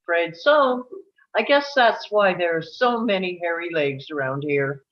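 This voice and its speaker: A woman's voice: lowish voice, expressive, measured pace, lo-fi sound. A woman playing a wry, unflappable nurse and working mother in a live script table read. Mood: wry, matter-of-fact.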